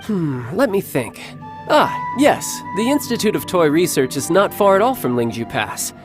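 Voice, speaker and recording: A man playing a cocky, playful young warrior and doting older brother in a video game, smooth-talking and teasing. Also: high voice, big pitch swings, moderate pace. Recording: background music under the voice.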